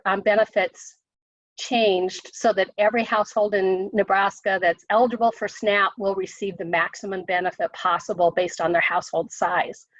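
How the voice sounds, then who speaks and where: A woman official with an American accent, hoarse from a cold, briefing on a conference call, halting with many ums and restarts. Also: medium pitch, fairly bright tone, no room sound. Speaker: state education official. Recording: dry muffled call audio.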